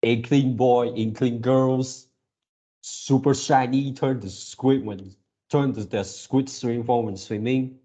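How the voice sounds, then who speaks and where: A man in his early twenties talking casually to viewers as a channel host, in a halting way, often repeating and rephrasing himself. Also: mid-range voice, fairly even intonation, mellow. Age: early 20s. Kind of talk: casual reaction video talk to viewers.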